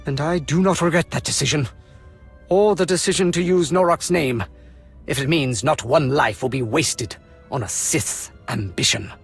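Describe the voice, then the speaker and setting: A man's voice: a little high, normal speed, vocal fry, husky, faint music behind. An actor playing a proud, idealistic Imperial colonel in a video game, speaking formally and earnestly in his own defence. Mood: impassioned, defensive. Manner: formal, earnest, impassioned speechmaking. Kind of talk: dramatic video game acting.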